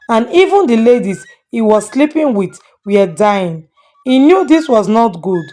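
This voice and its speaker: High-voiced woman storyteller. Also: a little mellow. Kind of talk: scripted moral folktale narration.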